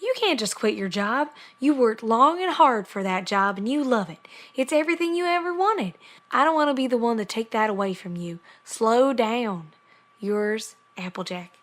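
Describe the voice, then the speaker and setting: A woman's voice: a little high, expressive, moderate pace, lightly acted. A woman voicing a blunt, down-to-earth female farm pony who speaks plainly and urgently in an audio drama letter reading. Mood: concerned, firm.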